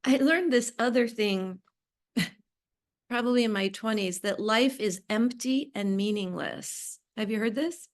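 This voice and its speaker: Slow-paced, higher-voiced woman, blunt. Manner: blunt, emphatic, repeats short phrases. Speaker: podcast guest, business owner. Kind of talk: casual reflective interview talk.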